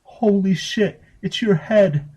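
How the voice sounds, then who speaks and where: Guy doing a bratty lil girl voice, super high and lispy, giggly, bossy, story reading. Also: slow pace, dark tone, breathy edge, full character voice, rough recording. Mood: excited. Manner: giggly, demanding, affected lisp. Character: spoiled, gleeful, bossy little girl.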